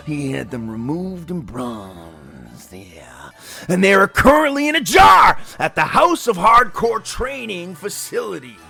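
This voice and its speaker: Narrator: boastful macho pro wrestler, high gravelly voice, slow dramatic promo delivery. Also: male voice, sharp tone, heavily acted. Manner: punctuates sentences with emphatic interjections. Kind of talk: exaggerated wrestling-promo storytelling.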